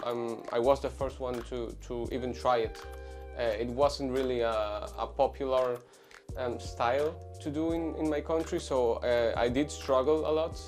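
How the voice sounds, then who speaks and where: A man's voice: medium pitch, darkish tone. A man in his twenties from Venezuela, a tattoo artist answering interview questions casually, with many fillers and restarts. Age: 20s.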